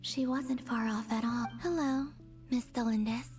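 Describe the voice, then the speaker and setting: A woman voicing a calm, gentle young moon goddess in a video game, speaking softly and with composure. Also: on the high side, measured pace, faint music behind, lightly acted. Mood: calm, polite.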